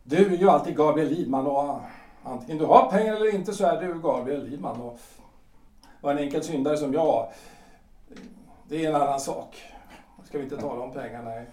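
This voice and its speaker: An actor playing a reserved, polite lawyer-politician in his forties in a period audio drama, halting and repeating words as he goes. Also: fairly high pitch, darkish tone, close to an everyday voice. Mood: probing, ironic. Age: middle-aged. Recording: roomy echo, distant from the mic.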